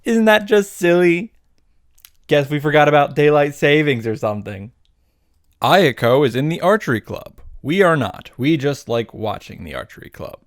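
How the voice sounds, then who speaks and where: A man's voice: mid-range voice, expressive, normal speed. Irreverent man narrating a comic recap. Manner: irreverent, sweary, sarcastic, punchy short sentences. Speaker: host of a visual novel summary series.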